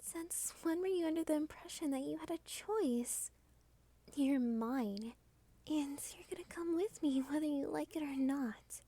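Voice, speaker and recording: A woman playing a starved, doting yet domineering female vampire in an intimate ASMR roleplay, teasing and often slipping into breathy whispers. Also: high-pitched, measured pace, dark tone. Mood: domineering, menacing. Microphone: close studio microphone.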